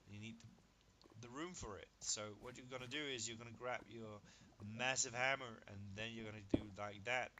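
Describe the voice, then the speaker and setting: A man with a Danish first language talks through his game in an easy, chatty way. He gets excited over each result and does sums aloud, over a clear steady hiss. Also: fairly low pitch. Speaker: gaming video creator. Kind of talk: casual gameplay commentary.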